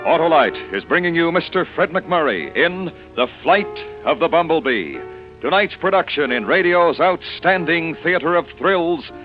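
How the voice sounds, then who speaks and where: A man's voice: a little high, expressive, normal speed. An American man announcing an old-time radio drama in a formal, dramatic manner. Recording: dull vintage radio, music behind.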